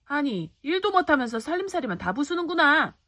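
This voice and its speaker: A woman voicing a cruel, two-faced stepmother in a storybook, with sharp, spiteful scolding. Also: sits high, sing-song, a little fast, dry recording, voice pushed up, exaggerated voice. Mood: angry scolding.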